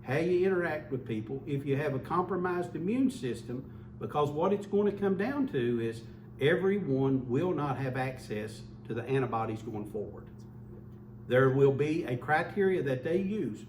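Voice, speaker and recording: A man's voice: mid-range voice, lively intonation, moderate pace, darkish tone. Man giving a health warning. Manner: earnest, repeats words when restarting. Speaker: local official. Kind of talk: public health update. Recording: echoey room, mic far off, faint hum.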